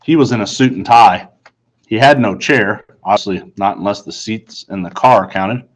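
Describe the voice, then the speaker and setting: A man reading first-person story narration aloud in an earnest, deliberate storytelling manner. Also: on the low side, low-quality recording. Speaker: audiobook reader.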